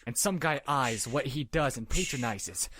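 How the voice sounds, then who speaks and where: Man as a deadpan, slangy teenage cool kid. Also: mid-range voice, normal speed, background noise, lightly acted. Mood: smug, rhythmic rapping. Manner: laid-back, deadpan, slangy. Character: cool, smug, sardonic teenage boy rapper. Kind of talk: casual rapping and slangy dialogue acting. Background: music.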